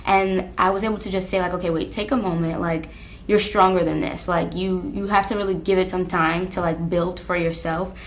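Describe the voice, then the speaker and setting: Low-pitched young American woman, candid. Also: fairly even intonation, moderate pace, a little hiss or hum. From Long Island, New York, USA. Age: 20s. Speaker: college alumna being interviewed. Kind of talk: candid documentary interview.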